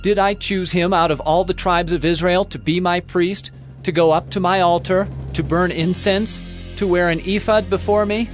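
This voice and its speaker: A male actor plays a stern man of God in a scripture drama. The voice sits a little high and declaims judgment solemnly. Soft music runs beneath it. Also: steady tone, moderate pace, lightly acted. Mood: stern reproach. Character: stern male prophet delivering God's judgment. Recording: faint music behind the voice.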